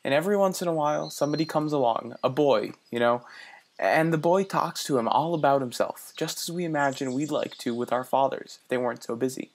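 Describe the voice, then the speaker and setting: An actor playing an earnest young man from the city who muses aloud and stammers a little when he is unsure. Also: fairly high pitch. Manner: earnest, halting with small stammers. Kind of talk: radio play acting.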